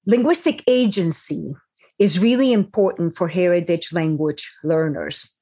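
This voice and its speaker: A woman's voice: lowish voice, unhurried, dark tone, husky. A woman, a professor, answering panel questions in a direct, emphatic way over a video call.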